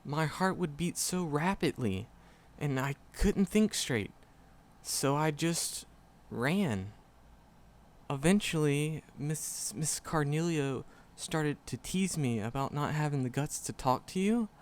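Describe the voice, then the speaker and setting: A man's voice: on the high side, animated. A man playing a shy, flustered, lovestruck magic professor in a roleplay audio drama, stammering and hesitant. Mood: bashful confession. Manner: nervous, heavy stammering, hesitant, halting. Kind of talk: roleplay audio drama, speaking softly to the listener.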